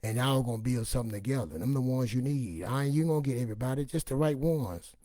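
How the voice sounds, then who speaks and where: A raspy-voiced man giving an informal, emphatic personal testimony in a streetwise, repetitive way, acting out bits of dialogue as he goes. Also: mid-range voice, quick pace, warm tone, dry recording, studio quality. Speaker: online motivational and faith speaker.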